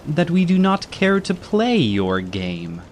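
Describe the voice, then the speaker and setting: A man's voice: on the high side, huge pitch swings, slow, slight background noise, exaggerated voice. A man playing a defiant, sarcastic Spanish nobleman in a dramatised audiobook, haughty and scornful. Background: faint ambient sea sound.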